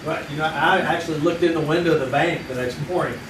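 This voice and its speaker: An actor with a Kentucky accent playing a curious old friend who wryly prods for details in a live old-time radio drama. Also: male voice, a little high, fast talker, natural voice. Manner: wry, prodding questioner. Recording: echoey stage audio from far off, audible noise.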